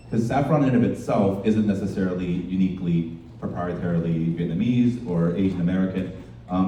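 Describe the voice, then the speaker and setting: Very mellow, low-voiced man in an echoing room. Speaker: event host and panel moderator. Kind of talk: moderating a live panel discussion. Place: indoor department store event. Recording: roomy echoing event space, distant mic, faint noise.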